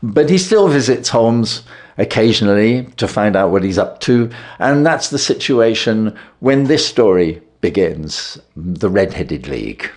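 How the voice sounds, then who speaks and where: A man narrating a classic short story as an audiobook, in an expressive, deliberate storytelling manner. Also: fairly low pitch. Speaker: reader of short stories.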